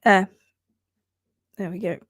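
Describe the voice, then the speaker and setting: A woman hosting a live stream on hoof care, talking quickly and repeating herself, laughing often as she reads out viewer posts. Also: mid-range voice, fairly even intonation, slightly rough, dry recording, studio quality. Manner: rapid-fire, repeats words, chatty. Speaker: livestream host, hoof care teacher. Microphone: livestream setup.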